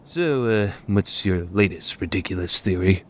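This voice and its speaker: A man's voice: sits low, measured pace. A man playing a blunt, slouchy young geek in an anime visual novel fan dub, casual and unimpressed, on a slightly noisy recording. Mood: sceptical.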